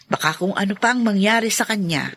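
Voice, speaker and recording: A woman's voice: medium pitch, animated, a little fast, a bit bright. A woman acting a concerned, chatty older relative in a radio drama. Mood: concerned, fretful.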